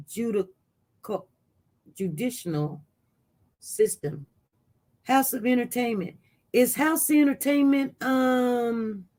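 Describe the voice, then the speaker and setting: A live-stream news host in casual commentary, reading viewer chat aloud and reacting with sudden jumps in pitch and loudness, rambling with frequent restarts. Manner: animated, voice jumps up loud when reacting to chat.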